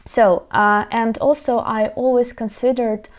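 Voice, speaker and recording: A woman in her thirties with a Ukrainian accent, giving a casual lesson and often pausing with uh and um. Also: fairly high pitch, fairly even intonation. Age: 30s. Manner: frequent hesitations with uh and um. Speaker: language teacher.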